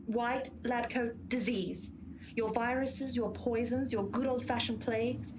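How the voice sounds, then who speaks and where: A haughty horse of Pestilence, acted by a woman, speaks in a fairly high voice over a noisy bed of sound. The delivery is pompous and exasperated, like a put-upon lecturer in a radio comedy. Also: steady tone, a little slow, lightly acted. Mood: brisk, pompous. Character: haughty, long-suffering female horse of Pestilence, bossy explainer. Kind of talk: comic radio play acting.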